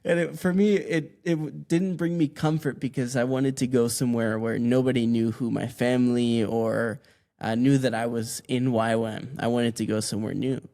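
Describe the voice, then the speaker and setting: A man's voice: mid-range voice, normal speed, creaky. Young man rambling through a podcast interview. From Mexico. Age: 20s. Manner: relaxed, rambling, many ums and restarts. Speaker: podcast guest. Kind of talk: casual interview answers.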